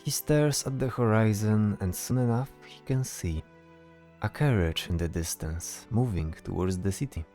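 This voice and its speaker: A man's voice: lowish voice, moderate pace, warm tone, soft background music. A man narrating a scripted fantasy audio story.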